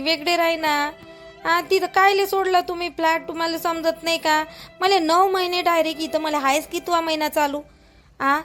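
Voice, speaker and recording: A woman plays an anxious young village wife in a homemade cartoon. Her voice is extremely high, and she pleads and protests in a whining way with a rural Vidarbha Marathi accent. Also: a bit bright. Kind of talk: amateur cartoon dialogue in rural dialect.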